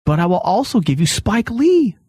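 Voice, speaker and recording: A man hosting a talk show with casual, comedic banter, excitable and often restarting his sentences. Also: high-pitched, normal speed, slightly rough, no room sound, clean studio sound. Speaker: podcast host.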